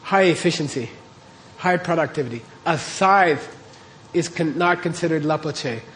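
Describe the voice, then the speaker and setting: Lecturing man with a higher voice. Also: normal speed, fairly bright tone, a little hiss or hum. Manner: explains terms step by step, checks in with "okay?". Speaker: teacher. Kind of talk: classroom lecture on Tibetan texts.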